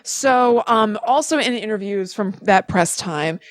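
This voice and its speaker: A woman's voice: mid-range voice, expressive, measured pace, no room sound. Casual woman podcast host, dry close sound. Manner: conversational, explanatory. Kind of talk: casual chat, retelling research.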